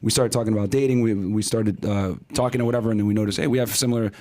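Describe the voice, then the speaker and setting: A man in his thirties telling his story as a podcast guest, in casual, rapid talk full of fillers and acted-out quotes. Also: fairly low pitch, steady tone, darkish tone. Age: 30s.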